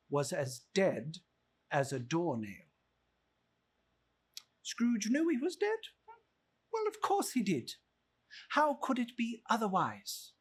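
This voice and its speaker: A man reads a classic story aloud with theatrical flair. His voice sits a little high and is husky, and he takes his time over every phrase, leaning hard on the key words. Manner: theatrical, slow and emphatic with lively swings in pitch. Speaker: narrator reading a classic novel aloud. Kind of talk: dramatic storybook reading.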